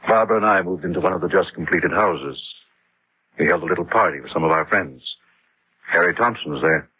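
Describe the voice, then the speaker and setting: Husky engineer recounting a horror tale. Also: male voice, deep voice, a little fast. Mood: grim recounting. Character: engineer husband George, weary narrator of a horror tale. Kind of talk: radio drama narration and acting. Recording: dull lo-fi radio drama recording.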